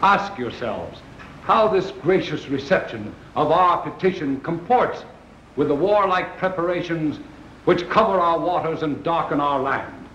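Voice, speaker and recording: Impassioned colonial statesman, higher-pitched, declamatory, 1930s film drama with dull sound. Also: lively intonation, fairly bright tone, echoey room, slight background noise. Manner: declamatory, measured, formal. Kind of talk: 1930s film acting, formal oratory.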